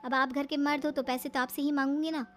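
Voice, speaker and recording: Woman voicing an upset, high-pitched young wife. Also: level tone, fast, fairly bright tone, faint music behind. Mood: sweetly coaxing. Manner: emphatic, pleading. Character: young educated daughter-in-law, upset yet defiant wife.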